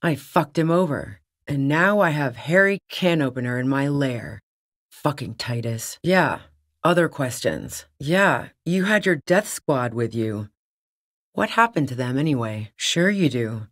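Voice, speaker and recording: A woman's voice: very deep voice, expressive, a little slow, no echo, studio quality, heavily acted. A woman voicing a tough, cynical, streetwise smuggler on the run in a video game, with a blunt, sardonic manner. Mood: defiant, wary, then cool, sardonic. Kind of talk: video game character dialogue.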